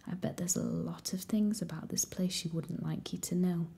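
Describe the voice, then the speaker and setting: A woman's voice: fairly low pitch, steady tone, normal speed, vocal fry, dark tone. A woman voicing a smug, bossy boy with a Cockney accent, whispering his lines in a condescending way. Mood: disdainful. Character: surly boy with pudgy face, smug and bossy.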